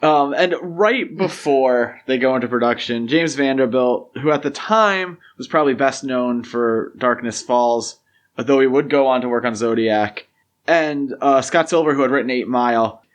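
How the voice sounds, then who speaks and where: A man's voice: medium pitch, normal speed. A man hosting a podcast, talking through film history in a casual, conversational style. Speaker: podcast host.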